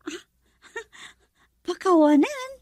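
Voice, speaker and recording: A woman's voice: very high voice, animated, very slow, darkish tone, dry recording, exaggerated voice. A woman acting a bubbly, affectionate young daughter in a radio drama, with a Filipino accent. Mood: curious, puzzled.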